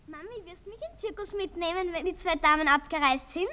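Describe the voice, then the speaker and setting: A woman's voice: very high-pitched, fast talker, pushed and bright. A woman playing a curious small boy who pesters his mother with questions in a German radio play. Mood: curious, eager.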